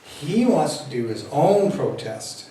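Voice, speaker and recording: A man's voice: fairly high pitch, big pitch swings, slow, warm tone, slight background noise. A tired, cowed woman in her fifties, as a male reader voices her, giving in with weary apologies. Her lines come through a far mic in a very echoey room.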